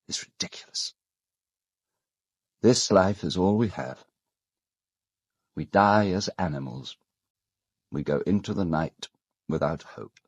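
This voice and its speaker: An actor with a British accent playing an urbane, cold, self-justifying gentleman in an audio drama, speaking in a measured, articulate, dryly ironic way. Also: lowish voice, breathy edge, dry recording, clean studio sound, exaggerated voice.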